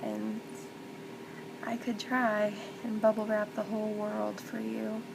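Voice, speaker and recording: Woman playing a hushed, slow-talking comforting mother. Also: big pitch swings, vocal fry, full character voice. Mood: tender, reassuring. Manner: soothing, tender, reassuring, hushed with whispery stretches. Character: gentle comforting mother soothing her hurting child. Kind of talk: soft-spoken ASMR roleplay. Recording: loud background noise with low rumble.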